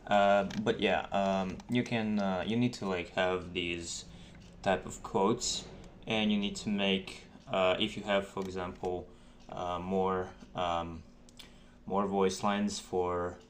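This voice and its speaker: A man with a low voice explains game modding in a casual tutorial. He talks slowly and haltingly, stopping often between short phrases. Light background noise and keyboard clicks sit behind him. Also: fairly even intonation. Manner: halting, hesitant explaining with many pauses. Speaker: tutorial narrator for game mods. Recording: noisy desk mic, keyboard clicks. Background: keyboard typing and mouse clicks.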